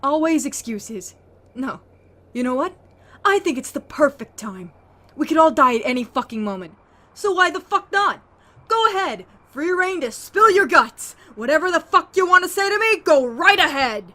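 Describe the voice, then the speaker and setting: A woman's voice: sits high, very animated, moderate pace, pushed and bright, full character voice. A woman acting a bitter, sarcastic, combative sister who survives in a zombie apocalypse audio drama. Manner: sarcastic, confrontational, swearing.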